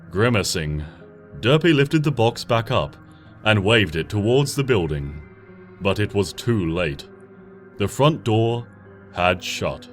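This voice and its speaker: A man reading a story aloud as expressive audiobook narration, moving between dramatic and hushed passages. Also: on the low side. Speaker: fanfiction reader. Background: soft background music.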